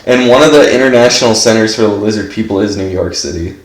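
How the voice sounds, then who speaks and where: A stuffed-up man with a fairly low voice rambles through casual commentary as he plays a game, leaning on "like" at every turn. His voice bounces around an echoey room. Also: fast talker. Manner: rambling, fills speech with "like". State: stuffed up from allergies. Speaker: game streamer.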